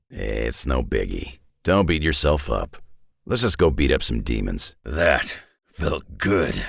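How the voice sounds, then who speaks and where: A surly, middle-aged video game superhero with a North American accent. The voice sits extremely low and is thick with gravel. The delivery is sardonic and clipped, swinging widely in pitch, full of folksy tough talk. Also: male voice, a bit bright, dry recording, clean studio sound, heavily acted. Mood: dry, gruff. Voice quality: gravelly, raspy. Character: gruff, surly middle-aged male superhero brawler, dry-witted. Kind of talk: video game character voice lines.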